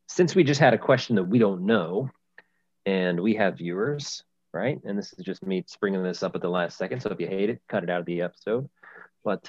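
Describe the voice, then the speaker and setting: A man with a lowish voice co-hosts a podcast in loose, casual chat. He rambles, restarting his sentences as he goes. The recording is dry and dull, with a lo-fi sound. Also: dry recording. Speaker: podcast co-host.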